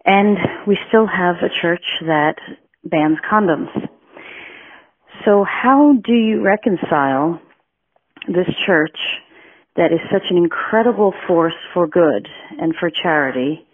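A woman's voice: fairly low pitch, slow. Woman on a thin, phone-like line. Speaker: listener with a question, journalist. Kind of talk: prepared spoken question. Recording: thin radio-like phone sound.

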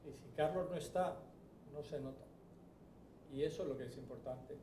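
A man's voice: on the high side, dark tone, a little hiss or hum. Man from Spain speaking at a lectern. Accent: Spanish from Spain. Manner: reflective, hesitant with many fillers. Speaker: transplant surgeon. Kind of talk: anniversary speech at a lectern. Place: large bright event hall. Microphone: lectern microphone. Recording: roomy hall audio picked up from a distance.

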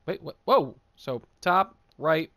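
A man's voice: fairly high pitch, slow pace, gravelly, bright, forceful tone, no room sound. A man giving casual, playful video game commentary, goofily talking to himself as he plays. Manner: playful, goofy, talks and sings to himself. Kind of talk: casual let's play commentary.

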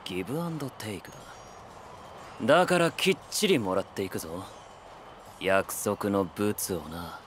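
An actor playing a haughty, mercenary dealer who speaks in a clipped, scornful way. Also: male voice, fairly low pitch, normal speed, long pauses, a little hiss or hum, close to an everyday voice. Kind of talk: anime voice drama acting.

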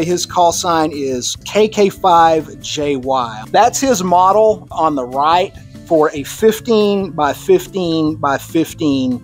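A man with a Texan accent gives a slide talk to a club, loose and conversational. His voice sits a little high for a man. Faint music runs underneath the recording. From Texas. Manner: relaxed, conversational explainer with casual asides. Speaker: presenter at an amateur radio club. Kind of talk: technical slide presentation. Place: club meeting room.

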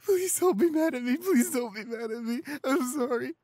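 A man playing a shy, anxious, apologetic young boyfriend in an audio roleplay, halting and repeating himself in a breathy, husky voice. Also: extremely high pitch, lively intonation, darkish tone, no room sound, studio quality. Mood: guilty, distraught. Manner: halting, stammering, repeating pleas. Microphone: close studio microphone, dry.

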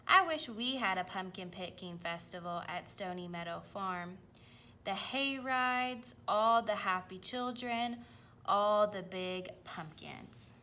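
A woman's voice: mid-range voice, lively intonation, takes it slowly, sharp tone, full character voice. A woman voicing a big male farm tractor in a storybook, grumbling and sighing but good-hearted. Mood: wistful. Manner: sighing, groaning complaint.

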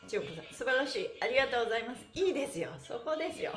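High, very animated woman in an echoey room. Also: racing, soft background music. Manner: animated, chirpy, exclaiming and encouraging, often laughing. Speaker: pantomime and stretching instructor, livestream host. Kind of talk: upbeat livestream exercise class and chat. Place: small bare-walled room indoors. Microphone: device mic a step or two away.

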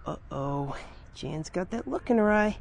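A woman playing a cheeky, excitable young joker in an audio drama. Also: fairly low pitch, measured pace, vocal fry, heavily acted. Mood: teasing.

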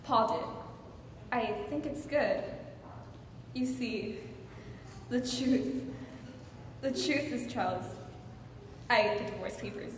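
A woman acting a wry, desperate wife refusing divorce, in a halting, theatrical dramatic monologue. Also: sits high. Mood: hurt, confessing. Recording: echoing hall from far off, faint noise.

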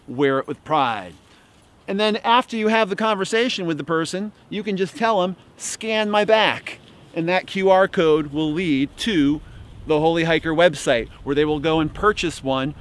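Man, 50s, slightly high voice, dry mock-salesman humor, deadpan comic pitch, outdoor woods. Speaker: outdoor gear vlogger. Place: outdoors in bare winter woods.

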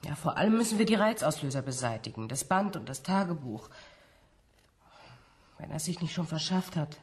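A woman acting a cautious, evasive university researcher in a radio drama, speaking in a careful, hesitant, measured way. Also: low voice, lively intonation. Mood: concerned. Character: cautious female scientist, evasive, uneasy researcher.

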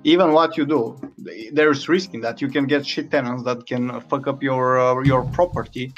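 Relaxed Bulgarian man with a mid voice. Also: mid-range voice, normal speed, highs cut off, faint music behind. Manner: relaxed, hesitant, many fillers. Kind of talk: casual chat.

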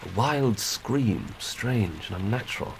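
An English actor playing an earnest, plain-spoken police inspector in a radio drama, reporting methodically and emphatically. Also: male voice, fairly low pitch, lively intonation, takes it slowly, creaky, noisy background, exaggerated voice. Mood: earnest, matter-of-fact. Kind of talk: 1990s British radio drama acting.

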